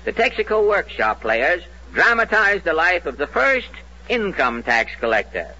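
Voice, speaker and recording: A man's voice: high voice, big pitch swings, unhurried, bright, forceful tone, a little hiss or hum. An American man narrating a scripted 1940s radio comedy in a mock-solemn, declamatory way. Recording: dull old radio broadcast.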